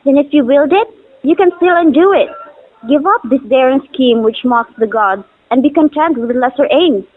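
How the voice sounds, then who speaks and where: A woman acts out an earnest young daughter with a high voice, pleading in formal, old-fashioned stage lines. The sound is dry and narrow, like a telephone. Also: lively intonation, moderate pace, no echo. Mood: earnest, respectful. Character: young devoted daughter of a bell maker, anxious and pleading. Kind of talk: stylized stage drama recital of formal archaic lines. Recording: muffled phone-like audio.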